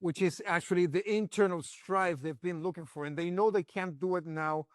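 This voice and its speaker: A man's voice: high voice, normal speed, dry recording, studio quality. A man from Venezuela with a Venezuelan accent, a native Spanish speaker, giving political analysis as an interview guest.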